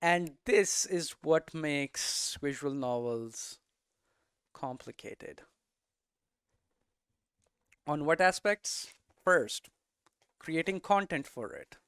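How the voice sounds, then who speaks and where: A man giving an informal explanatory lesson as a presenter, posing questions and answering them himself in halting, emphatic phrases. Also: a little high, expressive, a bit bright, clear, resonant tone, dry recording. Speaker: presenter of a game development explainer series.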